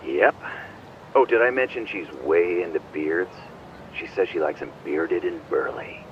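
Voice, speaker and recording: A man's voice: sits low, unhurried. An actor playing a sly male business-owner buddy in a video game cutscene, coaxing and teasing. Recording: dull band-limited voice over vehicle noise.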